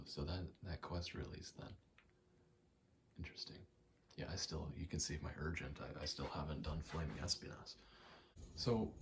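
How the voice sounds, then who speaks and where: A man rambling casually in a soft ASMR style over video game play, often slipping into a whisper and thinking aloud in short, halting pieces. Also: deep voice, noisy background. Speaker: ASMR creator, gamer; actor and stage combatant.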